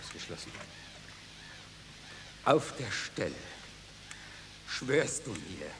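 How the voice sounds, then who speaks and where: A man's voice: lowish voice, big pitch swings, slow pace. An actor playing a stern, authoritarian father in a German radio drama, commanding and emphatic. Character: stern authoritarian father demanding obedience and study. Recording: long hall reverb, light noise.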